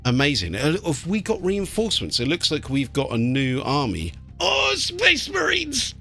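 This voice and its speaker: A man's voice: on the high side, huge pitch swings, normal speed, creaky, sharp tone, breathy edge. A British man giving casual gameplay commentary with blokey, sweary banter. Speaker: let's play host. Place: small warm room indoors. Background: game music.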